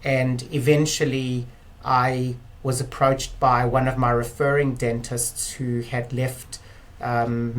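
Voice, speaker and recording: A South African man in his fifties, a physiotherapist guest in an interview, explaining in a measured way with frequent ums and restarts. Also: mid-range voice, slight background noise. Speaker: guest, clinic director. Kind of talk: interview talk.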